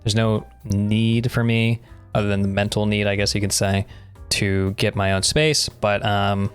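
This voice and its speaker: Young man, mid-pitched, smooth clear voice, casual opinionated podcast chat, explanatory, faint background music. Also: completely flat delivery, unhurried. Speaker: podcast co-host. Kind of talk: casual conversational discussion.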